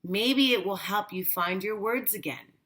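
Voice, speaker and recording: Woman reading as a curious little girl, lifted pitch. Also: measured pace, a bit bright, close to an everyday voice. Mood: hopeful, eager. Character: curious, tender seven-year-old granddaughter.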